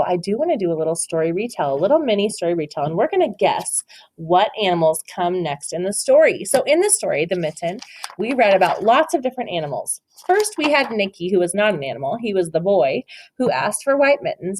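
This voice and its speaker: A woman reading a picture book aloud to a child, stopping to ask questions, gentle and encouraging with dramatic storytelling. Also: mid-range voice, rough recording.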